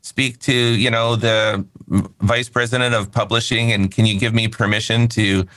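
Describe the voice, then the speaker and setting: A man's voice: mid-range voice, level tone, normal speed, a bit bright, no room sound, poor audio. A man in his early fifties, a company founder, talking candidly as an interview guest, rambling a little and laughing easily. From Canada. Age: 50s. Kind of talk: casual interview chat.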